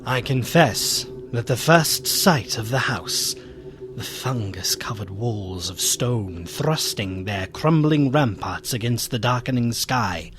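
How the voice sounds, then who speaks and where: Slow, solemn gothic gentleman narrator. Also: mid-range voice, lively intonation, music in the background. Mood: dread. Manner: literary, solemn, theatrical. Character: melancholy, fearful gentleman narrator, loyal old friend. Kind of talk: dramatic gothic radio narration.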